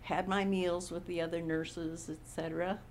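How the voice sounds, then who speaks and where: A woman in her eighties from Montana, recalling her childhood slowly in an oral history interview. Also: fairly low pitch, level tone, slight background noise. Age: 80s. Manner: slow, unhurried recollection with frequent pauses. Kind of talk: reminiscing in a recorded interview.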